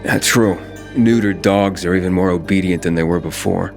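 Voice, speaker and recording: A determined young survivor in a video game. His voice is fairly low, his delivery terse and resolute, with music clearly heard behind him. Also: normal speed. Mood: dry. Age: young adult. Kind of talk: video game character dialogue.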